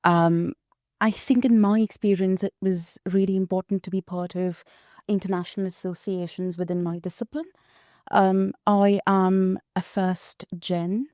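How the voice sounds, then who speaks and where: Woman from India, non-native English, measured and reflective guest on a dry-miked podcast. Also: medium pitch, fairly even intonation, mellow, dry recording. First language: not English. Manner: thoughtful, many ums. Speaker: guest researcher. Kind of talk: casual podcast conversation.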